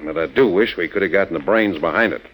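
An American actor playing a genial, authoritative sheriff in a 1940s radio drama, with measured delivery. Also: male voice, sits low, fairly bright tone, rough recording. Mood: satisfied.

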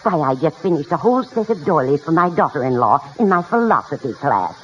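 Spry, witty old lady, low-voiced, animated. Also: brisk. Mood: amused. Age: older. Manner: wry, chatty, forthright. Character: spry, witty elderly woman college freshman. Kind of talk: 1940s-50s radio comedy acting. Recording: muffled, hissy old radio broadcast.

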